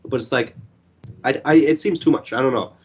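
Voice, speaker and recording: A young man reviewing a movie in casual podcast chat. His voice is hoarse and going, and he rambles with lots of fillers and swearing. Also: medium pitch, brisk.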